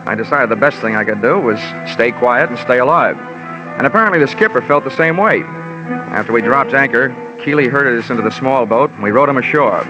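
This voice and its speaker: A man's voice: medium pitch, animated, a little fast, over music, close to an everyday voice. An actor playing a wisecracking insurance investigator, narrating his case in clipped, slangy 1940s hard-boiled radio style. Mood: tense, wry. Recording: muffled vintage radio recording.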